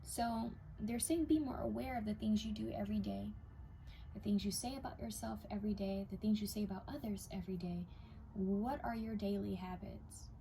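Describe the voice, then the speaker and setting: A young woman giving a casual pick-a-card tarot reading to her viewers, her voice a little raspy from many readings, now and then dropping to a whisper as she reads the cards. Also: mid-range voice, moderate pace, mellow, slight background noise, low-quality recording. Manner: intuitive, addresses viewers directly, drops to whispers while reading cards.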